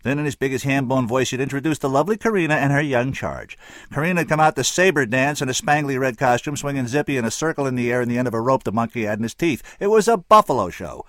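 A man voicing a chatty young male jazz pianist in his twenties who tells rambling stories loudly. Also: mid-range voice, expressive, fast talker, dry recording, studio quality, pitched above the performer's own voice, close to an everyday voice. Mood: animated. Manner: loud rambling storyteller.

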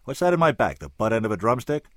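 A man's voice: on the low side, big pitch swings, quick pace, no room sound, studio quality. A man reading as a wry talking bear musician.

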